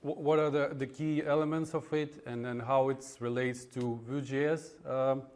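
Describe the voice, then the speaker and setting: A man from Russia with a Russian accent giving an informal technical talk at a meetup, in a halting, hesitant way with frequent pauses. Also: medium pitch, fairly even intonation, darkish tone. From Russia (Moscow). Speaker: conference speaker, web developer. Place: indoor meetup room in front of an audience.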